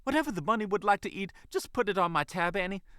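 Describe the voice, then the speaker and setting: Man acting flustered mother fox, storybook character, high swooping pitch, bright, tender, gushing. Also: very animated, normal speed, dry recording, clean studio sound, exaggerated voice. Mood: excited, flustered.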